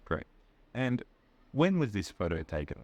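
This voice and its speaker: Lively Australian man interviewing slowly. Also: lowish voice, dry recording, dull audio. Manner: patient, encouraging, checks and repeats answers. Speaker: English teacher and interviewer. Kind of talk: slow, clear interview for learners.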